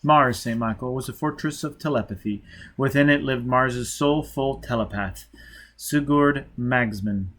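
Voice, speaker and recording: A man's voice: medium pitch, unhurried, a bit bright. A man reading a novel aloud as scripted audiobook narration. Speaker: audiobook reader.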